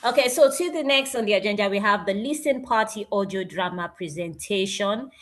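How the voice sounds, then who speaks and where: Nigerian woman presenting, animated and emphatic. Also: medium pitch, a little fast. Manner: animated, emphatic, with exclamations and repetition. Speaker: presenter at an online graduation event. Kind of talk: informal spoken presentation.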